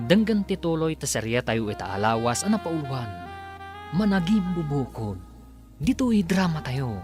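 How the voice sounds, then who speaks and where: A man with an Ilocano accent narrating a radio drama as its announcer in a dramatic scripted style. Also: medium pitch. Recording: radio narration over loud music bed.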